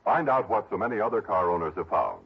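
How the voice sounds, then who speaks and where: A man's voice: fairly low pitch, very animated, quick pace, slightly rough. A man working as a 1960s radio announcer, reading scripted copy. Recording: dull vintage radio broadcast recording.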